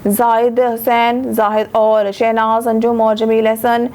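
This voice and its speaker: A woman's voice: on the high side, monotone, measured pace, a little mellow. A woman reading a scripted news bulletin, formal, going steadily through long lists of names. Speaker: news reader.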